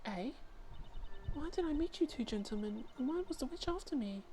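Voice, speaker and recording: A woman's voice: sits high, animated, moderate pace, mellow, soft background music. A woman voicing an anxious, confused young woman in a game fan dub, speaking hesitantly and earnestly. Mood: confused, apologetic. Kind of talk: fan-dubbed game dialogue.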